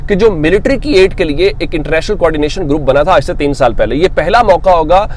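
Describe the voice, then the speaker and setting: Man, high-pitched voice, news commentator, explanatory, rhetorical questions, unscripted delivery. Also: lively intonation, a little hiss or hum. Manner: explanatory, reads English quotes slowly then paraphrases them; poses rhetorical questions and answers them. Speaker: host and news commentator of an online channel.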